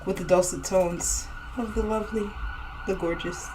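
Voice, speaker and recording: A woman co-hosting a casual podcast, animated and quick to laugh, with a voice that turns raspy at times. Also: mid-range voice. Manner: animated, exclaiming, laughing often. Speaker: podcast co-host. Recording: distant mic, faint ambient noise.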